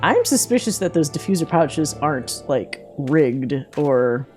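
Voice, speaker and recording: Girl streaming a game, mellow darker voice, blunt exasperated asides, faint music under it. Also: deep voice, sing-song, unhurried. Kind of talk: live playthrough reactions between read-aloud dialogue.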